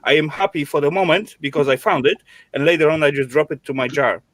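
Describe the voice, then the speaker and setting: A man chatting casually on a stream in halting English with odd grammar. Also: a little high, a bit bright. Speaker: guest on a stream chat. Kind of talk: casual online chat.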